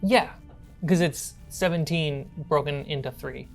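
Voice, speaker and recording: A woman with a low voice narrates a horror tabletop game as game master. She sets each scene deliberately, with music playing along underneath. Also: creaky.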